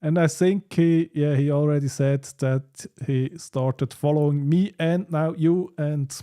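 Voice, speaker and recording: A man hosting a casual livestream chat, speaking in halting, hesitant phrases. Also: on the high side, steady tone, warm tone, clean tone. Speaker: livestream host.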